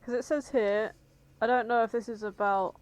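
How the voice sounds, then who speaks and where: A woman's voice: fairly high pitch, fairly even intonation, normal speed, no room sound, low-quality recording. Blunt, teasing woman on a podcast. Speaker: podcast co-host. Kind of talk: casual banter.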